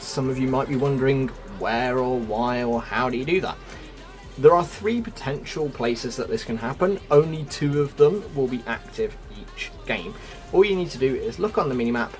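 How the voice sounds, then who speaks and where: A man hosting a gaming guide, explaining things step by step to viewers in a scripted narration style. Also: medium pitch. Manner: explanatory, addresses viewers as folks. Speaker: gaming video host. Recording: music under the voice. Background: music.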